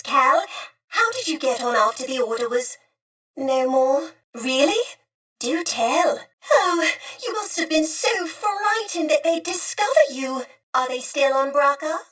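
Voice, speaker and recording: High female voice, prim old-fashioned droid, chatty, courteous, wistful, video game character, slightly distant from mic. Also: a bit bright. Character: genteel, chatty female protocol droid, two centuries old.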